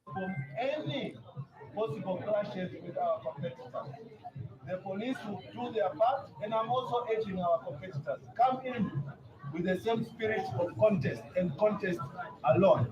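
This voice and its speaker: A man's voice: high-pitched, normal speed, music in the background. A man with a Zambian accent giving political remarks as a party official. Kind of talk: political remarks to press and supporters. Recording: muffled, distant clip with loud crowd. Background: loud crowd.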